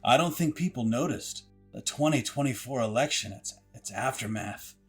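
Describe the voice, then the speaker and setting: Man acting an earnest mid-pitched expert. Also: lively intonation, normal speed, soft background music, lightly acted. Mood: matter-of-fact. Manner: explanatory, conversational. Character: earnest, matter-of-fact expert in mock documentary. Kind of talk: mock documentary interview.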